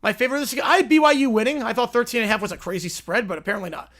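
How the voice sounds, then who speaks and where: Twenty-something guy from long island doing live football play by play, highish voice, chatty. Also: rapid-fire, fairly bright tone. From Long Island, New York. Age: 20s. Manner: chatty, answers viewer questions between plays. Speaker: livestream host and play-by-play commentator. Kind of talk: casual live play-by-play with viewer chat.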